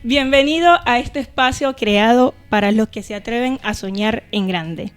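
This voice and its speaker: A woman's voice: a little high, normal speed, clear, resonant tone, soft background music. A woman with a Venezuelan Caribbean accent, co-hosting a conversational podcast. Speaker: podcast co-host. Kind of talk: casual podcast chat with scripted intro.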